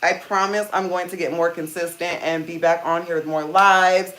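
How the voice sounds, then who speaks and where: A woman with a deep voice hosting a casual hair-care livestream, chatting to viewers and calling them "you guys" while she steams her hair. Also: fairly even intonation, normal speed, fairly bright tone. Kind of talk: casual live-stream chat reading comments. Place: indoors at home, seated on a small chair. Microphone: phone.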